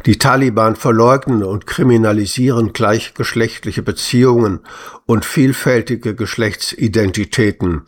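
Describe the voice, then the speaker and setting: A man reading written testimonies aloud in a slow, measured, grave manner. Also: lowish voice. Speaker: reader. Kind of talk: solemn read-aloud of testimonies.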